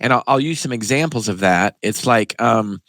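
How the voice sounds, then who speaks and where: A man who hosts a health podcast and works as a pharmacist, chatting in an animated, emphatic way as he tells stories. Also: mid-range voice, normal speed, no echo. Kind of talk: casual interview chat.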